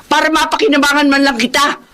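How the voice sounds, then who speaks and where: A woman acting a blunt, sharp-tongued Filipino wife and mother, fed up, in a radio drama. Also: high voice, level tone, fast talker, background noise, lightly acted. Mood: scolding. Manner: scolding, blunt.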